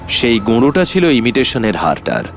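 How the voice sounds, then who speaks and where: An actor playing a shrewd, confident detective in a cartoon mystery, explaining things in a sly, theatrical way. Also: male voice, mid-range voice, wildly swooping pitch, normal speed, a little hiss or hum. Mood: confident, explanatory. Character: shrewd, confident male detective revealing the culprit. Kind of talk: animated detective story acting.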